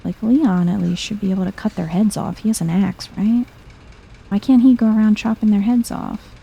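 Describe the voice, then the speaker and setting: A woman giving soft-spoken, chill ASMR commentary over a video game playthrough, thinking out loud in a low-key way. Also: fairly even intonation, normal speed, gravelly, slightly rough.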